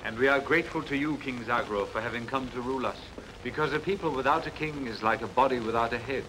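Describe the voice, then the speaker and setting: An actor dubbing a reverent court minister in a sword-and-sandal film, formal and ceremonious. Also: male voice, medium pitch, fast talker, background noise, natural voice. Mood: solemn, ceremonious. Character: solemn, reverent court minister welcoming his king.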